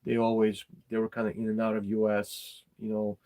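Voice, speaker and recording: A middle-aged man, talking casually and bluntly about his opinions, halting and repeating his words. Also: fairly low pitch, monotone, warm tone. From former Yugoslavia. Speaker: podcast host.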